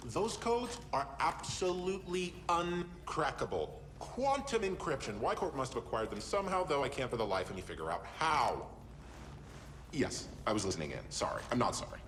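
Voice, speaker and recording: An actor playing a cocky, sarcastic hacker in a cyberpunk video game, with a brash, slangy way of talking. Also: male voice, mid-range voice, big pitch swings, moderate pace, a bit bright. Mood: cocky, teasing. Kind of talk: video game character acting. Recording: noisy ambient background.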